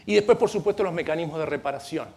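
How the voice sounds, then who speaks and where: A man's voice: on the high side, rapid-fire, darkish tone. A middle-aged man with an Argentine accent, speaking on a conference panel in an earnest, explanatory way, often restarting his sentences. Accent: Argentine Spanish. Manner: earnest, explanatory, with frequent restarts and light asides. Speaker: panel speaker at a legal training event. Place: conference room.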